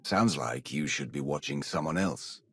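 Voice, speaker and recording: An actor playing a curt, commanding dark Sith warrior in a video game, with a gravelly, husky voice. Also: male voice, rumbling low, moderate pace, exaggerated voice. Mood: stern. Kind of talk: video game cutscene acting.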